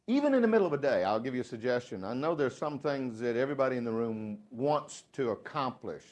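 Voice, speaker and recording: A Southern-accented man in his fifties talks to a sales team in a folksy, joking way. His voice sits in an ordinary middle pitch, and he drops from loud asides to near-hushed emphasis. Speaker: motivational sales speaker. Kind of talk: live speech to a sales audience. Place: dealership meeting room.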